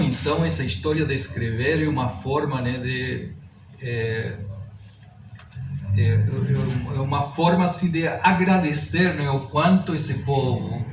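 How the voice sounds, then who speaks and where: A man in his sixties, a native Spanish speaker with a Chilean accent, talking reflectively and haltingly as an interview guest. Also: medium pitch. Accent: Chilean Spanish accent in Portuguese. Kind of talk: reflective autobiographical interview talk. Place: indoors at a publisher's office. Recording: echoey room, distant mic, clear background noise.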